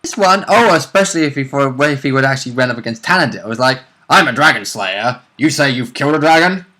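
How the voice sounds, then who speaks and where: A man's voice: mid-range voice, animated, fast talker, pushed and bright. A man co-hosting a casual game discussion, joking and commenting in a blunt, sarcastic way. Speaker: co-host.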